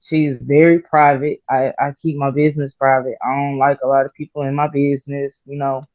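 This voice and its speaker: A woman rapper answering interview questions in a halting, soft-spoken way, with many fillers and pauses. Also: very deep voice, level tone, dry recording. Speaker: rapper guest. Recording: slightly dull, muffled audio.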